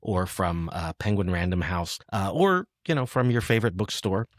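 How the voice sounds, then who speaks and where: A man's voice: deep voice, moderate pace, dry recording, studio quality. A man hosting a podcast, chatting casually and conversationally with his guest. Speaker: podcast host.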